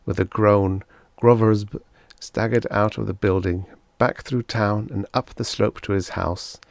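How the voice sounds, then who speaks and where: A man's voice: on the low side, steady tone, unhurried, vocal fry, dry recording. A man reading a scripted short story aloud as an audiobook narrator.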